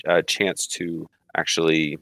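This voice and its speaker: Hesitant low-voiced man, full of ums. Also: flat delivery, slow, creaky, a bit bright, no echo. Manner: hesitant, many ums and uhs, laughs often. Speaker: podcast guest, author. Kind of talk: casual podcast chat.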